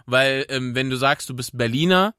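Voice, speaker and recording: A young man from western Germany talks as a podcast co-host, in a close, dry sound. His pitch is average, and his casual delivery is halting, with hesitations and stammered starts. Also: pushed and bright, smooth, dry recording. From Germany (North Rhine-Westphalia). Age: young adult. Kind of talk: casual chat.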